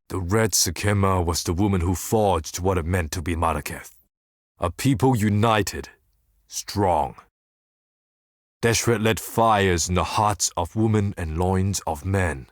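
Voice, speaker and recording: Slow, brooding male desert mystic. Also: on the low side, no room sound, studio quality. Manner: measured, portentous, wryly philosophical. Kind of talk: dramatic scripted video game monologue.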